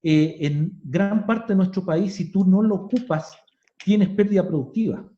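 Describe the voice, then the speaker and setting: Chilean guy on a webinar, higher voice, kinda dark tone, echoey room. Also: unhurried. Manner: conversational, plain-spoken explaining. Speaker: agronomy speaker. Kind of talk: webinar talk. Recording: roomy, muffled online call.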